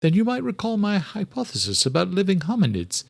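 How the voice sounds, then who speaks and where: A man voicing an elderly scholarly doctor in an audiobook, courteous and direct, with formal, deliberate, old-fashioned phrasing. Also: high voice, huge pitch swings, gravelly, no echo, clean studio sound, voice pushed up, full character voice. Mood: earnest, regretful. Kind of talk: audiobook character dialogue.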